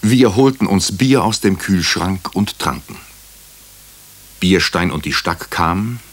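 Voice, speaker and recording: A very low-voiced, husky male hospital doctor narrates a crime radio play. He is brooding and wry. His phrases come measured and clipped, as if thinking aloud. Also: fairly bright tone, full character voice. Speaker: narrator and lead character. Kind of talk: German radio drama, first-person crime narration.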